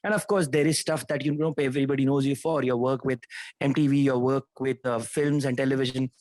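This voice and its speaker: A man's voice: medium pitch, steady tone, brisk, darkish tone, no echo. A man hosting a casual interview, chatty and mixing in Hindi phrases. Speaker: host.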